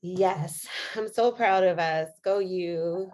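A woman's voice: fairly low pitch, takes it slowly, darkish tone. A woman co-hosting a podcast, chatting enthusiastically and upbeat, and reading a guest introduction. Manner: enthusiastic, upbeat, laughs often. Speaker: podcast co-host. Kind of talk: casual chat and read introduction.

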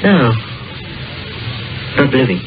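An actor playing an imaginative, dryly amused small-town doctor who muses like a storyteller in an old-time radio drama. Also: male voice, medium pitch, big pitch swings. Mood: speculative, storytelling. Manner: musing, storytelling, deliberate phrasing. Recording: muffled vintage radio recording with music.

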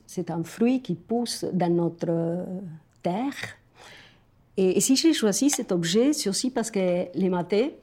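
Slow, mellow woman with an Argentine accent. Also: fairly low pitch. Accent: Argentine Spanish. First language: Spanish. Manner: hesitant, searching for words. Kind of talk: personal reflective talk to a companion.

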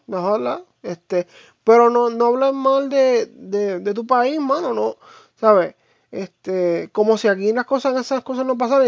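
Puerto Rican vlogger, informal and opinionated, halting slangy delivery, close dry sound with muffled lo-fi quality. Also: medium pitch, no room sound. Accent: Puerto Rican Spanish. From Puerto Rico. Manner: informal, halting, peppered with slang and English fillers. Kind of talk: casual, opinionated monologue to viewers.

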